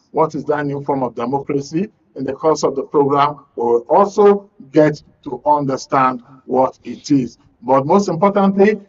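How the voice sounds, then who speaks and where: A man with a Nigerian accent hosting a current affairs programme in a studio, speaking in a measured, formal, wordy way. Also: a little high. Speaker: radio and TV host. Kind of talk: current affairs show introduction. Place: radio and TV studio. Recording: dull, band-limited broadcast audio.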